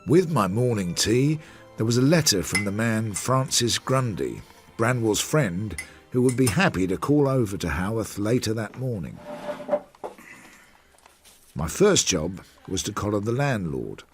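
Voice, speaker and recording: Low, animated detective narrator. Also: male voice, a little slow, faint music behind. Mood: reflective, puzzled. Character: reflective male police detective, wry, methodical narrator. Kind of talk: scripted first-person radio drama narration.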